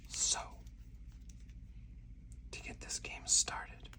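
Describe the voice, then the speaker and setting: Someone speaking in a breathy, deliberate, hushed whisper, in the style of an ASMR game playthrough with commentary. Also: distant mic. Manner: slow, deliberate, hushed delivery. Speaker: solo card game player and host.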